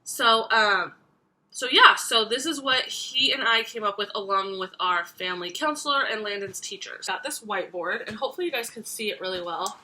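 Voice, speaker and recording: A woman's voice: medium pitch, normal speed, sharp tone, low-quality recording. A young woman in her twenties, congested from a cold, chatting casually to camera about parenting, with many ums and restarts. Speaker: parenting vlogger.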